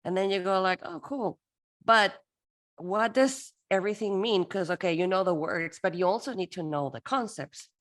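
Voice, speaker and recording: A woman from Peru presenting a workshop for interpreters, lively and playful, acting out little dialogues as she teaches. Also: medium pitch, normal speed.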